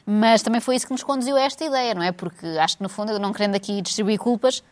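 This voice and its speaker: A woman's voice: medium pitch, very fast. A woman with a European Portuguese accent, co-hosting a casual chat and ranting sarcastically about football and money. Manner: sarcastic, animated rant.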